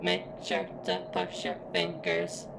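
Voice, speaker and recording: A woman playing a formal, vulnerable, flirtatious sentient surveillance robot in an ASMR roleplay, with stilted, halting phrasing and a pained strain. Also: very deep voice, level tone, noisy background. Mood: strained, embarrassed.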